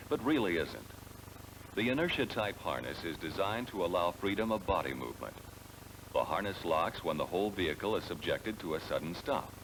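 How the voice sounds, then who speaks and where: Deep-voiced American instructional film narrator, a man. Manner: measured, explanatory, addressing the listener directly. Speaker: narrator of a car sales training film. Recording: noisy 1970s training film soundtrack.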